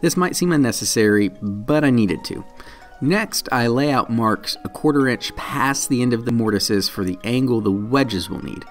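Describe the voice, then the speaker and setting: A man's voice: medium pitch, animated, normal speed. A man in his thirties, a channel host, narrating a woodworking build in a plain, practical and self-deprecating way. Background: soft guitar music.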